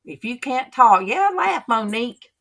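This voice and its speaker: A woman, hosting a casual faith chat and telling stories in a chatty, drawn-out way. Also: a little high, sing-song, creaky, fairly bright tone. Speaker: channel host.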